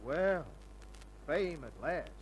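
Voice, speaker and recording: A man's voice: on the high side, lively intonation, extremely slow, rough recording. Hard-boiled male sleuth, wry, terse, slangy, old radio drama, slight hum. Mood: wry, surprised. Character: wry, hard-boiled male investigator narrating his own case. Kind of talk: old-time radio drama acting and first-person narration.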